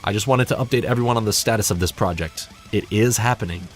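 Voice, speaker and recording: A young man with a fairly low voice talks through a casual, scripted video update. He is wry and self-deprecating and swears freely. Faint guitar music plays behind him. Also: fast talker. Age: young adult. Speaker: hobby narrator and audio play director giving a channel update.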